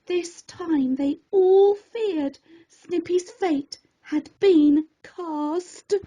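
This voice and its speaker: Unhurried, high-voiced woman reading a children's story. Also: dark tone, lo-fi sound. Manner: theatrical, deliberate storybook delivery. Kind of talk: expressive rhyming children's story narration.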